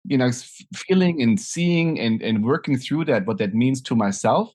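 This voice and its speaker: A man's voice: medium pitch, animated, normal speed, darkish tone. A middle-aged man from Germany with a German accent, speaking thoughtfully as an interview guest with many fillers and restarts. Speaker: guest, nonprofit founder. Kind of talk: conversational interview.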